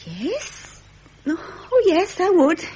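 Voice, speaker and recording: An actress playing a sweet, modest young princess, naive but gently forthright, in a 1970s British radio drama. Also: female voice, extremely high pitch, huge pitch swings, very slow, exaggerated voice. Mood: shy, eager. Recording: muffled vintage radio, faint hiss.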